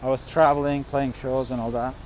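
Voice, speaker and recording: A man from Turkey, chatting casually about his travels in a halting way, with many fillers and restarts. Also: medium pitch, little pitch movement, a little hiss or hum, low-quality recording. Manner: halting, full of "you know" and "like" fillers. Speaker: touring musician and vlogger. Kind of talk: casual chat.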